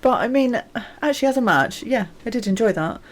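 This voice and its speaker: A woman's voice: a little high, animated, a little fast. A woman co-hosting a podcast, chatting casually, agreeing often and laughing easily. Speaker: podcast co-host. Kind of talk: casual chat.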